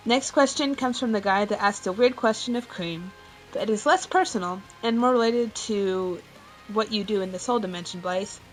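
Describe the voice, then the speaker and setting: A woman's voice: medium pitch, normal speed, faint music behind, poor audio. A woman hosting a casual scripted question show, reading out fan questions.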